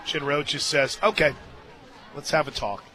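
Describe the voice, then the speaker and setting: A man doing live radio play-by-play commentary of a softball game as the announcer. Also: on the high side, steady tone, moderate pace. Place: outdoor softball stadium radio booth. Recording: stadium crowd noise and music behind. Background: crowd and music.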